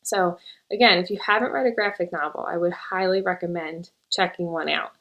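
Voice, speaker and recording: A woman's voice: fairly low pitch, steady tone, fairly quick, a bit bright, low-quality recording. A woman teacher hosting a casual book chat, who coaxes her young daughter with questions and then talks easily about books. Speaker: teacher and show host.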